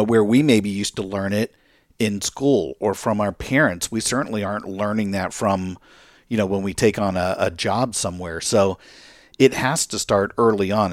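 A man hosting an interview conversation, thoughtful, building his points slowly and asking sincere questions. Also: lowish voice, dry recording, studio quality. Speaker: podcast host and author.

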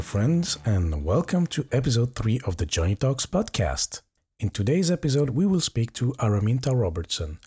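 A man hosting a podcast interview in a casual way, pausing often with filler sounds and restarting sentences. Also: mid-range voice, dark tone, breathy edge. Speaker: podcast host.

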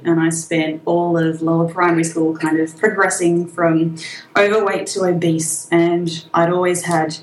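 An Australian woman speaking as a podcast guest in casual conversation, chatty and quick to laugh, with frequent ums. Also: lowish voice, echoey room, a little hiss or hum, rough recording. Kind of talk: casual interview chat.

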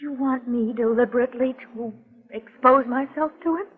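Anxious heroine, tinny old radio. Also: female voice, on the high side, normal speed, slightly rough. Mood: resolute, strained. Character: anxious but brave young female client in danger. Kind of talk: 1940s radio melodrama acting. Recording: tinny vintage 1940s radio recording.

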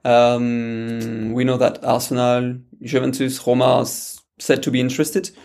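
A man's voice: mid-range voice, flat delivery, measured pace, vocal fry, a little mellow. A man with a French accent, a guest pundit talking football on a podcast, hesitant with many fillers and restarts. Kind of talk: casual podcast chat.

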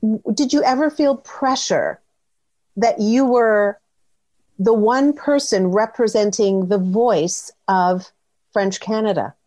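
A woman's voice: medium pitch, slow, dark tone. A woman interviewing a guest, asking probing, emphatic questions. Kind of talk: conversational interview.

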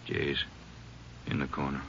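A man's voice: extremely low pitch, monotone, unhurried, bright, forceful tone, full character voice. An actor in an old radio western playing a watchful, coaxing lawman. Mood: wary. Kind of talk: 1950s radio western acting. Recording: muffled, noisy old radio recording.

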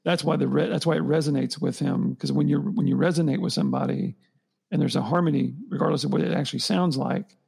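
A man's voice: fairly high pitch, steady tone, fast, vocal fry, a little mellow, husky. A man co-hosting a podcast, thinking aloud in long rambling stretches and often restarting his sentences. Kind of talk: conversational podcast discussion.

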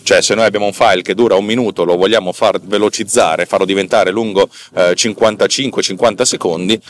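Low-voiced man explaining tech, halting delivery. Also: steady tone. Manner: hesitant, self-correcting, halting phrasing; colloquial, self-deprecating, mildly profane. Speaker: podcast host. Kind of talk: informal technical explanation.

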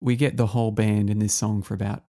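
A man's voice: on the low side, flat delivery, quick pace, mellow. A man hosting a casual music interview, rambling and enthusiastic with lots of fillers. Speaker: podcast host.

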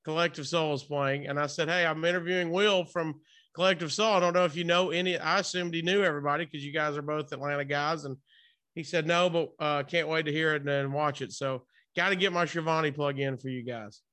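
Bright, higher-pitched male interview host. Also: fairly even intonation, a little fast. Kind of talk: casual interview chat.

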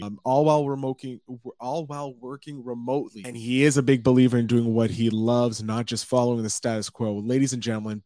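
A man hosting a podcast, opening with a showy welcome and then asking relaxed interview questions. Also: mid-range voice, dry recording. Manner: upbeat showman intro, then relaxed questions. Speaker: podcast host.

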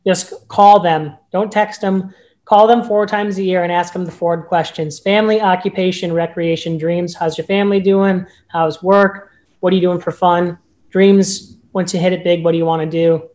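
A man with a notably high-pitched voice, a real estate agent speaking as a podcast guest in an upbeat, emphatic way. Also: fairly even intonation, moderate pace, darkish tone. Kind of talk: casual podcast interview.

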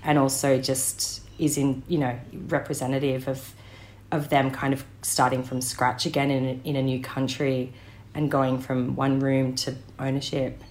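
An Australian woman in her thirties, an interview guest telling family stories in a thoughtful way, pausing with ums and restarting her sentences. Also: rumbling low, little pitch movement, darkish tone. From Western Sydney, Australia. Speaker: interview guest, director and actor. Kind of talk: reflective conversational storytelling.

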